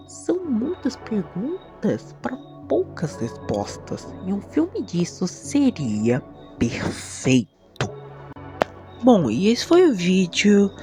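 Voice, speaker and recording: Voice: medium pitch, mellow. A Brazilian Portuguese speaker hosting a video essay, with dramatic, emphatic commentary that often poses rhetorical questions. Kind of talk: enthusiastic video commentary with scripted narration.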